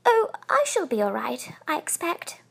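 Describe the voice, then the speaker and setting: A woman plays a little boy bear in a children's storybook. The voice is small and high, with a lively lilt. He speaks with earnest politeness and a touch of proud self-importance. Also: a little slow, full character voice. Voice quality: small, clear voice.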